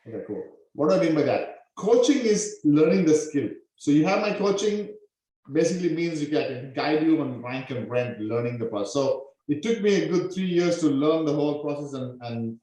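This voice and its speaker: A man originally from Sri Lanka, coaching students on a live webinar in an energetic way, often tagging his points with a quick "okay?". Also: mid-range voice. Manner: energetic, peppers talk with "okay?". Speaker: online business coach. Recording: very echoey room.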